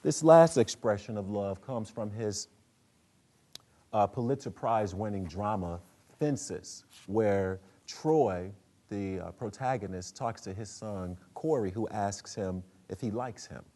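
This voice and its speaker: A man giving a prepared literary talk that introduces dramatic readings, with measured, halting phrasing. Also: fairly low pitch, dark tone. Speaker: speaker, literary presenter.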